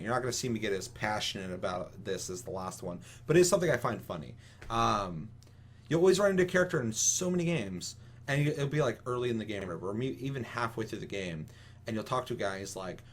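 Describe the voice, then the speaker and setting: A man co-hosting a casual gaming talk show, ranting with strong opinions in an emphatic, rambling, swear-heavy way. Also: medium pitch. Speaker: podcast co-host.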